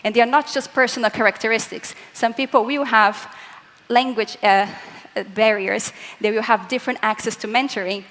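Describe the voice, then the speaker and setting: A young woman from Latin America gives a conference talk in English, which is not her first language, and her voice sits a little higher than most. She explains her points earnestly and speaks straight to the audience. A long reverb trails behind her from the large hall. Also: fairly even intonation, normal speed, fairly bright tone. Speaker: physicist giving a conference talk. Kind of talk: conference presentation.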